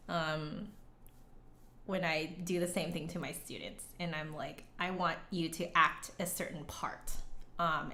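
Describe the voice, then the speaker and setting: A woman's voice: on the low side, a bit bright. A woman hosting a casual livestream, chatting with viewers and thinking aloud in a rambling, hesitant way. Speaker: livestream host, flute musician and teacher. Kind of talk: casual livestream chat, reading and answering comments. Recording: roomy, echoey indoor sound.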